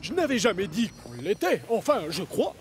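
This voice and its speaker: A man's voice: sits high, huge pitch swings, normal speed, noisy background, full character voice. A man voicing a weary, hesitant elderly tram engine in a children's cartoon, with an apologetic manner. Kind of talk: animated children's cartoon dialogue.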